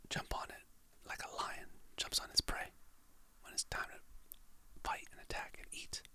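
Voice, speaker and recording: A content creator softly whispering motivational quotes and affirmations in a slow, soothing ASMR style. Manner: soft whisper, slow and soothing, reads quotes then names the author.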